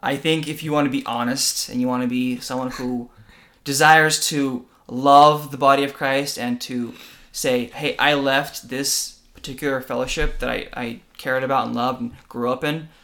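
A man's voice: mid-range voice, fairly even intonation, moderate pace, few pauses, a bit bright. A man co-hosting a podcast, explaining faith and church tradition in an earnest conversational way, often checking in with "right?". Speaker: podcast co-host.

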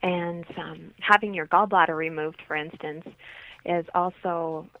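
Muffled lo-fi call, middle-aged woman, lowish voice, conversational guest. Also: steady tone, a little slow, dry recording. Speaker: interview guest, holistic healer. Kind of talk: conversational interview. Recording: dull, lo-fi remote call.

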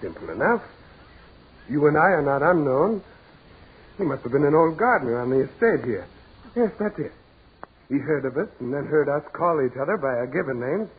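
A man's voice: fairly high pitch, sing-song, normal speed, long pauses, husky, heavily acted. An actor playing a proud, practical businessman fighting dread in 1940s radio horror, with theatrical, repetitive insistence. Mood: forced reassurance. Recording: scratchy, tinny 1940s radio recording with noise.

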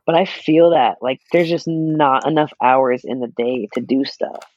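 A young man with a naturally raspy voice, chatting casually as a podcast co-host, laid-back and quick to laugh. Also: mid-range voice, a little mellow, no room sound, dull audio. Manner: laid-back, laughs often, many fillers. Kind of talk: casual chat.

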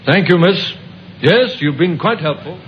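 Calm police inspector, muffled old radio. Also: male voice, a little high. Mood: dry, assured. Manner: measured, courteous, terse. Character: calm, shrewd Scotland Yard police inspector. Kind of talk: old-time radio crime drama acting. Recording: muffled old radio recording, faint noise.

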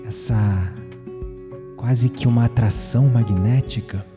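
An actor playing an amiable, self-deprecating, introspective man in an audio drama, speaking Brazilian Portuguese in a measured, reflective way. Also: medium pitch, over music, natural voice. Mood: intrigued, longing. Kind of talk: audio drama dialogue and inner monologue.

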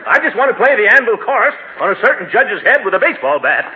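An actor playing a blustering, excitable middle-aged uncle with a pompous manner and hearty chuckles in a 1940s radio comedy. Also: male voice, high voice, quick pace. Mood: indignant. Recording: noisy, tinny old radio broadcast.

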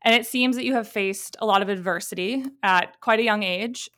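An upbeat woman hosting a podcast, with a voice of middling pitch. She reads a guest introduction and then slips into relaxed, chatty questions. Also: brisk. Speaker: podcast host. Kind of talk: podcast interview, scripted intro.

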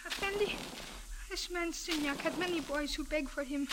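A woman's voice: sits high, normal speed, natural voice. A woman playing a frightened, earnest young Egyptian beggar boy in a 1940s radio drama. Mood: pleading, confessing. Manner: formal, earnest, pleading. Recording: noisy vintage radio recording.

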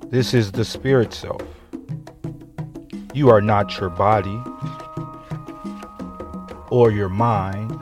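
A man delivers a spiritual meditation talk in a very deep voice. He speaks slowly and deliberately, with long pauses between phrases. Music with a wood block plays clearly behind him. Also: fairly even intonation.

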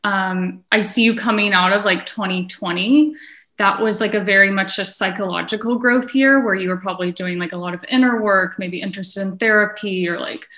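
Woman astrologer, casual and conversational, bright tone, tentative phrasing, echoey room. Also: medium pitch, steady tone, fairly quick. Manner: hedging, frequent use of "like". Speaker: astrologer guest. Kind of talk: casual conversational chart reading.

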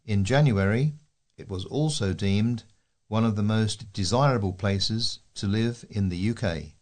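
A man's voice: lowish voice, measured pace, a little mellow. A man with a British accent reads local news articles aloud as a volunteer newsreader.

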